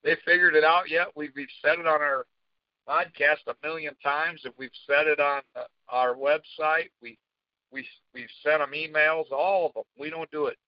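A man's voice: fairly high pitch, steady tone, moderate pace, no echo. A man co-hosting a podcast in casual chat, blunt and profane. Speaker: podcast co-host. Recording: dull, dry lo-fi audio.

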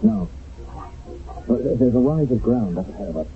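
An actor playing a commanding army sergeant on patrol, urgent and decisive, in a radio drama recorded from an old broadcast. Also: male voice, mid-range voice, sing-song, normal speed, gravelly, narrow, tinny audio. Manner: urgent, decisive, giving orders.

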